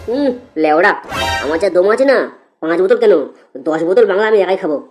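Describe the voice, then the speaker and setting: A man acts out a boastful young male drinker in a comic cartoon. He has a crude, bragging way of talking. Music is clearly present behind him. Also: high voice, animated, quick pace. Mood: boastful, needling.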